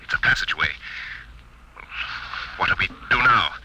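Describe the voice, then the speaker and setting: An actor playing an awed, uneasy undersea explorer in a 1950s radio drama. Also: male voice, deep voice, lively intonation, moderate pace. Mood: amazed, uneasy. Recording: very noisy old radio recording.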